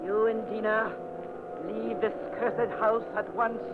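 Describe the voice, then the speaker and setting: An actor playing a vengeful ghostly dead sister who speaks in slow, solemn, doom-laden pronouncements. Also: male voice, high-pitched, flat delivery. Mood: menacing. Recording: hissy tinny old radio broadcast.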